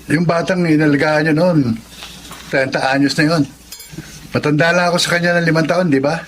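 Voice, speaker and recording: A man's voice: fairly high pitch, steady tone, brisk, slight background noise, close to an everyday voice, rough recording. A man with a Filipino accent playing a caring, teasing son in his thirties in a radio drama, with casual delivery. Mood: matter-of-fact. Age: 30s. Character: teasing, caring thirty-five-year-old son of an elderly mother.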